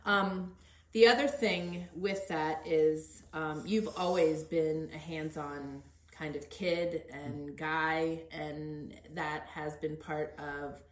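A middle-aged woman hosts a casual podcast in an earnest, encouraging way. Her pitch sits somewhat low for a woman, and the room adds a clear echo around her voice. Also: fairly even intonation, takes it slowly. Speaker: podcast host. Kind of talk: casual conversational podcast interview.

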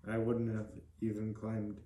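A man's voice: on the low side, completely flat delivery, unhurried, mellow, roomy sound, slight background noise. A young adult man answering casually as an interview guest, in brief, plain-spoken replies. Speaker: podcast guest.

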